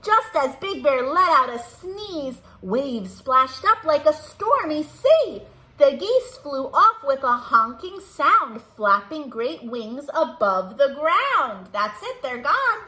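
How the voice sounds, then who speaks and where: A woman's voice: high-pitched, very animated, slow, bright, forceful tone. A woman hosting a children's storytime, reading aloud in a sing-song way and asking young listeners simple questions. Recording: roomy echo.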